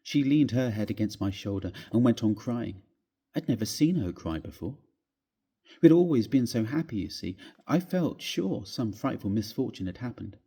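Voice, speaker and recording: A man with a husky voice reading a story aloud in a measured, expressive, theatrical way. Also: mid-range voice. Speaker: reader of a classic ghost story.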